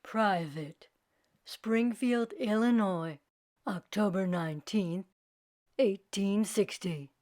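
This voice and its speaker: A woman voicing a grave, courteous middle-aged statesman from a storybook, in a measured, formal and deliberate way. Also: medium pitch, darkish tone, lightly acted.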